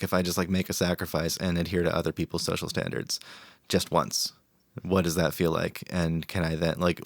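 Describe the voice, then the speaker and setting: A man's voice: deep voice, dry recording. A man in a casual podcast panel, reflecting aloud on socializing and drinking, with frequent restarts. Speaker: podcast guest.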